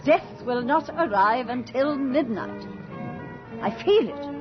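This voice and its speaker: A woman's voice: high-pitched, lively intonation, slow pace. A woman playing a haughty, fearless old aristocratic lady with theatrical deliberation in a radio drama. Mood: tense, brooding. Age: older. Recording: muffled old radio recording, music behind.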